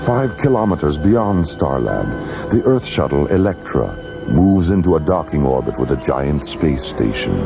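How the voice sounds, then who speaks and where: A man narrating a science fiction radio drama in a solemn, measured, documentary style over music. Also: extremely low pitch, big pitch swings, vocal fry, lo-fi sound, exaggerated voice.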